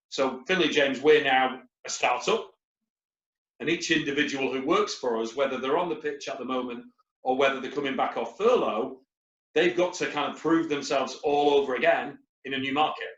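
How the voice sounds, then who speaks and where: A man's voice: mid-range voice, moderate pace, a bit bright, roomy sound, dull audio. A man, a recruitment company leader, talking on a webinar panel in a rambling, anecdotal way with lots of fillers. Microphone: video call.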